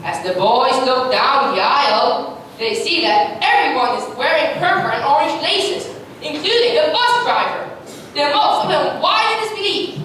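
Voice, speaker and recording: A woman's voice: sits high, animated, moderate pace, a bit bright. A woman narrating a scripted story as part of a reader's theatre performance. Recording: very echoey room, distant mic, clear noise.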